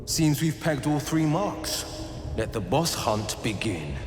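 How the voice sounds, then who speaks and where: A man's voice: mid-range voice, big pitch swings, takes it slowly, soft background music. A man playing a tough, plain-spoken adventurer in a video game. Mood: eager. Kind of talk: video game character acting.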